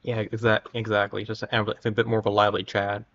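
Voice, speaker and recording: Male livestream host, lowish creaky voice, rapid but stumbling delivery, informal and conversational. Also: completely flat delivery, fairly bright tone, dull audio. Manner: halting, frequently stammering over words. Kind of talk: casual livestream chat with viewers.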